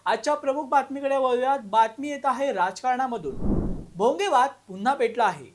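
A man's voice: extremely high pitch, brisk, background noise. A man reading scripted news as a bulletin anchor.

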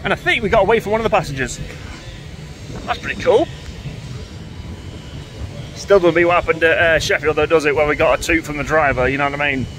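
A man, vlogging excitedly from a railway platform. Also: a little high, a little fast, pauses often, faint music behind. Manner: chatty, excitable, talks to camera while watching trains. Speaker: train-spotting vlogger. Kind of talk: casual vlog commentary. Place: outdoors on a railway station platform. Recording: outdoor station noise behind the voice. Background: passing trains and station ambience.

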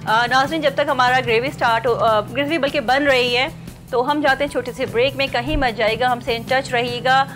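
A woman's voice: sits high, steady tone, a little fast, a bit bright. A woman with a Pakistani accent, chatting casually as host of a cooking show in a studio kitchen. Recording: music under the voice. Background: music.

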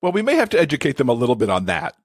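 A man's voice: mid-range voice, huge pitch swings, rapid-fire, husky, dry recording, clean studio sound. A man being interviewed as an expert guest, explaining things conversationally with everyday examples. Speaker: podcast guest, accessibility expert and author.

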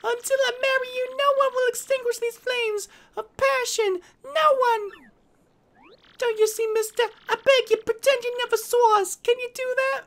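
A woman's voice: extremely high pitch, fairly even intonation, moderate pace. A woman voicing a melodramatic young mob boss's daughter, passionate, haughty and defiant. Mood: desperate, passionate. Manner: dramatic, haughty, pleading.

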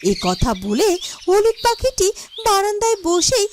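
A woman's voice: very high voice, sing-song, unhurried, very noisy. A woman giving scripted narration for a cartoon fairytale.

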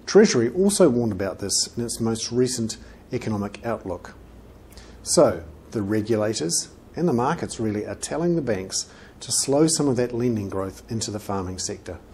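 A middle-aged man with a New Zealand accent presenting an economic commentary to camera in measured, emphatic phrases with deliberate pauses. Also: mid-range voice, animated, a little mellow, a little hiss or hum. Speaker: financial journalist presenting an economic report. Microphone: lapel mic.

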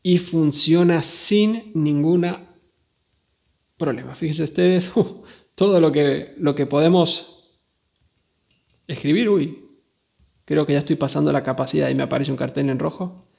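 A man's voice: on the high side, unhurried, creaky, pauses often, darkish tone, highs cut off. A man presenting a casual hands-on tech demonstration, talking informally and directly to viewers. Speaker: video host demonstrating radio gear.

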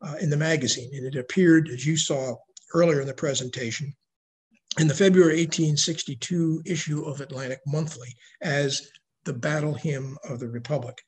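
An older man giving a prepared slide lecture on history over a video call, reading in a measured way. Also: on the high side, steady tone, husky. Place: indoor room at home, video call. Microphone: video call microphone.

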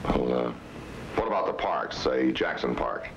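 An actor playing a tough, insistent police detective in a 1950s TV crime drama, with a terse, authoritative way of talking. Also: male voice, very deep voice, lively intonation, unhurried, creaky, heavily acted. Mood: pressing. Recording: muffled, noisy old TV soundtrack.

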